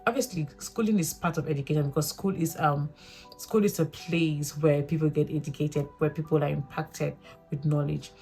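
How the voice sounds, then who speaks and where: A woman's voice: low-pitched, fairly even intonation, normal speed, warm tone, faint music behind. A young woman from Africa with a local mother tongue, talking earnestly to camera in a rambling, self-correcting way. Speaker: vlogger. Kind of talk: casual reflective talk to camera.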